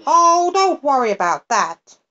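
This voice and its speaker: A woman's voice: high voice, sing-song, a little slow, pushed and bright, voice pushed up, full character voice. A woman voicing a mischievous male night creature who talks in a breezy, teasing, careless way. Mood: carefree. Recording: dull lo-fi recording.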